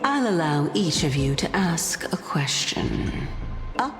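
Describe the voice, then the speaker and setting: Game role: teasing female sage, theatrical and lofty, leisurely pace, lively intonation, music behind. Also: low-pitched, exaggerated voice. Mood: amused, lofty. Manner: coy, condescending, theatrical, unhurried. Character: teasing, enigmatic adult woman sage, leader of seven. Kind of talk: video game voice acting. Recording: long hall-like echo, music underneath.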